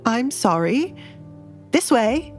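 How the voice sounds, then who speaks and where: A woman's voice: a little high, very animated, slow pace, darkish tone, voice pushed up. A woman voicing a nervous, obsequious middle-aged baron in an audiobook, placating and flustered. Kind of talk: audiobook character acting. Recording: music behind the voice.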